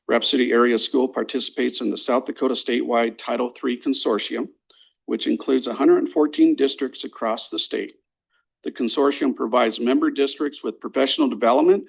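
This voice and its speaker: A man, a program coordinator, giving a measured, formal presentation to a school board, reading from notes with some fillers. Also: mid-range voice, gravelly, low-quality recording. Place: board meeting room.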